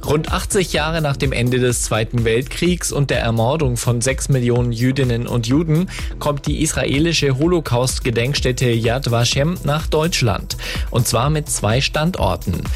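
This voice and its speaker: A man reading a radio news bulletin in a measured, formal way. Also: mid-range voice. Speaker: newsreader.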